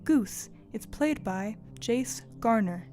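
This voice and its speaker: A woman playing a calm guide who reads scripted announcements in a measured, formal way. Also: a little high. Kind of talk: scripted announcement, credits reading.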